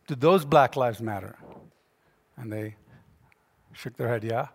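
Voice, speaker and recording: A man's voice: medium pitch, measured pace. A middle-aged man giving a conversational, persuasive public talk as a preacher, using anecdotes, rhetorical questions and joking asides. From born in Ghana, grew up across Africa, Jamaica, India and Yemen. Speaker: guest speaker, preacher and author. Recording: echoey hall with long reverb.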